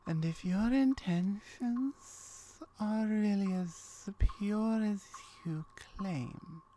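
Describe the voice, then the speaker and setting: A woman voicing a lonely, guarded gorgon in ASMR roleplay, speaking softly in hesitant, formal phrases that often drop near a whisper. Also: medium pitch, drawn-out words, a little hiss or hum. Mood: guarded, calm. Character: lonely, guarded female gorgon, wary yet curious.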